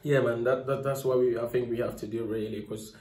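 A young man, a footballer, speaks softly after a match, filling his answers with fillers and "obviously", into a phone held close in a press room. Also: medium pitch, fairly even intonation, fairly quick, a little hiss or hum. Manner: soft-spoken, many fillers, leaning on "obviously". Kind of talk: post-match interview. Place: indoor press room in front of a sponsor board. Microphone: phone held near by the reporter. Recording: echoey room.

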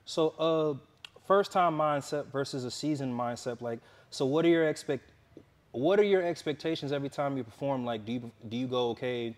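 A young man interviewing a guest in a relaxed, halting way, full of fillers and restarts. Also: medium pitch. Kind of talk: casual interview.

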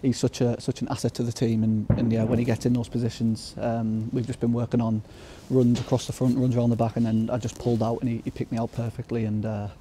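A man's voice: mid-range voice, flat delivery, fast talker, hardly any pauses, noisy background. A young footballer in his twenties, giving a modest, upbeat post-match interview outdoors at the ground at night, with lots of fillers and restarts.

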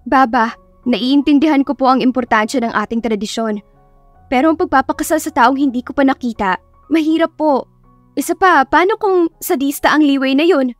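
A woman's voice: sits high, normal speed, dry recording, faint music behind, pitched above the performer's own voice, lightly acted. A woman voicing an anxious, pleading twenty-five-year-old daughter, stubborn and romantic, in an emotive audio drama style. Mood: worried, protesting.

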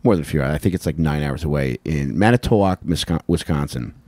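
Deep-voiced, animated American man. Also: fast, dry recording. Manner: sweary, slangy. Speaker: comedy podcast co-host. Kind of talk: casual comic storytelling. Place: small wood-panelled podcast room, indoors. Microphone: handheld microphone held close.

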